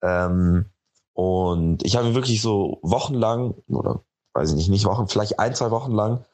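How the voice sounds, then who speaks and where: A young man with a lowish voice tells a relaxed personal story, stopping often to search for words. He is a radio presenter from Munich, chatting in a loose, unscripted way with frequent ums and restarts. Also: moderate pace. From Munich, Germany. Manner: hesitant, many fillers and restarts. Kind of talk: casual personal anecdote.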